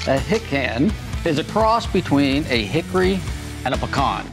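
A man's voice: medium pitch, sing-song, normal speed, over music. A middle-aged man, a nut farmer, talking in a plain, easygoing, dry way. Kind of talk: casual chat. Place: open farm barn.

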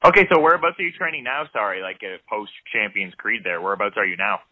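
A male host speaks quickly in a lowish voice, stringing long, winding questions together. The sound is dry and thin, like a phone line. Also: breathy edge, no room sound. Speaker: interviewer, host. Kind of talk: casual interview questions. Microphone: studio mic with headphones.

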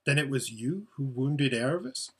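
A man's voice: mid-range voice, lively intonation, normal speed, husky. A man voicing a frightened orphan boy in a storybook, anxious and pleading in his questions. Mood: indignant, questioning.

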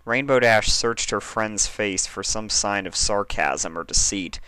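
A man's voice: on the low side, a little slow, bright, forceful tone, clear, resonant tone, dry recording. A man reading a story aloud as a scripted narration. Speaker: fan fiction reader.

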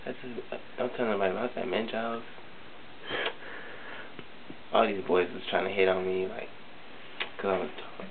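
A man's voice: low-pitched, flat delivery, quick pace, vocal fry. A man voicing a sassy, flamboyant young male cousin who tells a boastful, tough story in a comedy skit, recorded in a basement. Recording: tinny lo-fi audio with hiss.

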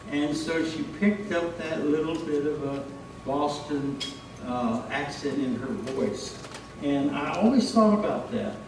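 A man's voice: fairly high pitch, a little mellow. An older American man preaching a sermon in a folksy, storytelling manner. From Texas, United States. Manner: folksy, illustrating with homely stories. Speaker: pastor. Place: church stage, indoors. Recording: echoey hall, distant mic, faint noise.

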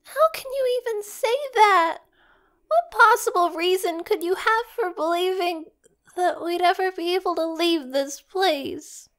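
A woman's voice: extremely high pitch, a bit bright. A woman playing a timid, despairing schoolgirl in a game dub, with a small, girlish, whiny voice. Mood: tearful, bitter. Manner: whiny, drawn-out delivery. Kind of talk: dramatic video game dub acting.

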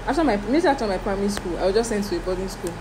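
Young Nigerian woman, guest, lively storyteller with dramatic quotes; air-con hum in the room. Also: medium pitch, racing. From Delta State, Nigeria. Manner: animated storyteller, dramatic quoting, mixes in pidgin. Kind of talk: casual chat. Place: indoor room, seated before curtains.

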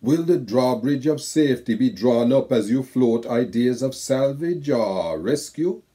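Older man reading slowly, dark tone. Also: medium pitch, lively intonation. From Saint Kitts and Nevis. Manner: slow, measured poetic reading. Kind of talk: reading a letter aloud. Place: home room with bookshelves.

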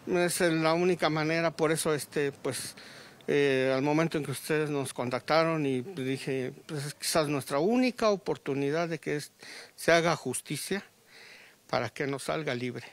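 Halting gravelly Mexican man. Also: fairly high pitch. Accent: Mexican Spanish. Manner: halting, many restarts and fillers. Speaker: grieving father. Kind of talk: live news interview. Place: outdoors under a tent at a funeral. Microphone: handheld reporter mic.

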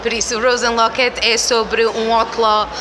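A woman's voice: on the high side, level tone, normal speed. A woman in her twenties with a European Portuguese accent, giving enthusiastic, emphatic answers in a casual interview. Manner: enthusiastic, effusive, emphatic repetition. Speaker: game publisher community manager, interviewee. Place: indoor festival venue, by a booth wall. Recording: loud busy event-floor noise.

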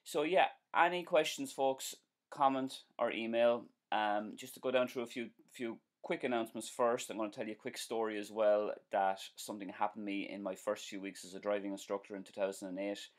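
A man's voice: on the low side, fairly even intonation, a little fast. A man in his forties with an Irish accent, hosting a live stream as a driving instructor, chatting casually and answering viewer comments with plenty of ums and restarts. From Ireland. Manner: chatty, friendly, repeats himself, many um and uh fillers. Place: small indoor room at home.

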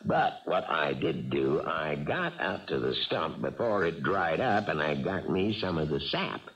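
Folksy American team manager, crafty, low gravelly voice, lively intonation, old radio play. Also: male voice, measured pace, exaggerated voice. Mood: coaxing. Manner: folksy, persuasive. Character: folksy, crafty, coaxing veteran baseball manager. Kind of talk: 1970s radio drama acting. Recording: dull, muffled old radio recording.

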